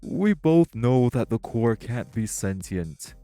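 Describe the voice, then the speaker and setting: A man playing a composed, self-assured tech company boss in an audio roleplay, speaking in a polished, measured, articulate way. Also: mid-range voice, big pitch swings, music in the background. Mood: warm, professional. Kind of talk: audio roleplay acting, one-sided dialogue.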